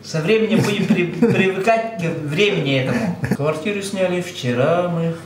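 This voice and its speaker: A man's voice: high voice, moderate pace, a loud crowd. Easygoing man, roomy sound. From Yakutia. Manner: easygoing, plain-spoken, chuckles often. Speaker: truck driver on a road trip. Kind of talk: casual vlog narration. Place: small rented apartment kitchen, indoors. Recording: echoey room with steady noise.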